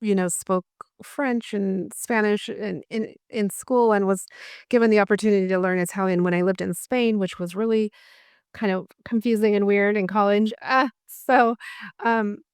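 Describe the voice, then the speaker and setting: A woman's voice: mid-range voice, fairly even intonation, normal speed, clear, resonant tone, no echo, studio quality. A woman hosting an interview podcast, affirming her guest and talking with frequent fillers. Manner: warm affirming, many fillers. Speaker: podcast host. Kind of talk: interview conversation.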